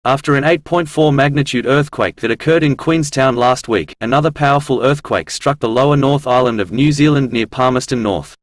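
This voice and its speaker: A man reading a scripted news report in a formal, measured way. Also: medium pitch, no echo, studio quality.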